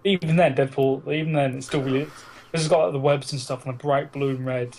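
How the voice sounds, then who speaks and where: A man's voice: medium pitch, fairly even intonation, fast, a little mellow. A British man co-hosting a podcast in casual, teasing, sweary banter with a friend. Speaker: podcast co-host.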